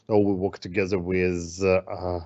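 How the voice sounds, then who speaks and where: A man's voice: low voice, flat delivery, moderate pace, darkish tone. A man from Slovakia introducing himself on a video call, hesitant and searching for words. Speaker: guest. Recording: dull video call audio.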